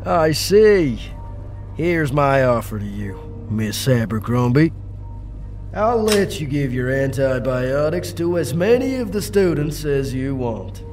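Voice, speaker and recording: Man acting a smug, slow-talking manic fungal doctor. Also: mid-range voice, big pitch swings, darkish tone, soft background music. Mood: coaxing. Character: fungus-infected male doctor, smug, manic cult-like persuader. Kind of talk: comic dub voice acting.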